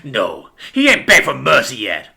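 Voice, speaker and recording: A man's voice: a little high, very animated, moderate pace, creaky, sharp tone, husky, pitched above the performer's own voice, exaggerated voice. A man voicing a domineering, hot-tempered badger father in a story, with rustic, commanding, seething speech. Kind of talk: dramatic storybook character voice.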